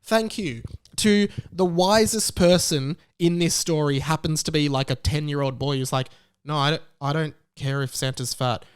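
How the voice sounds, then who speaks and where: Higher-voiced young Australian man ranting. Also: moderate pace, clear, resonant tone, no echo, clean studio sound. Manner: exasperated, emphatic, comically indignant. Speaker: YouTube commentator. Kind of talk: ranting commentary on a news story.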